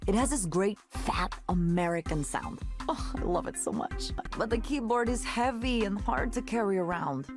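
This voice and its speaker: With music playing behind, an actress voices an eccentric woman musician from a video game. She talks in big, lively swings of pitch, effusive and passionate, musing like someone who lives shut away with her art. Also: moderate pace, few pauses, close to an everyday voice. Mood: enthusiastic, nerdy.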